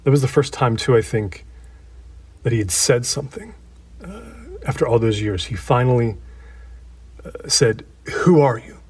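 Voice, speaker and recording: An actor playing an everyday man in an audio drama, recorded as if for a documentary. His voice is husky and mid-pitched. He talks haltingly and absorbedly, breaking his story into short conversational phrases. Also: animated. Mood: hushed, reflective. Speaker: interview subject in an audio drama. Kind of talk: naturalistic documentary-interview acting.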